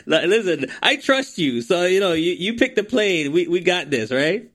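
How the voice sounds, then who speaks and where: Male host, hype energy, stammers and repeats, higher voice, dry close mic. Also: sing-song, normal speed, fairly bright tone, no room sound. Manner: enthusiastic, repeats words, hypes the guest. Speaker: podcast host. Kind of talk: casual interview hosting.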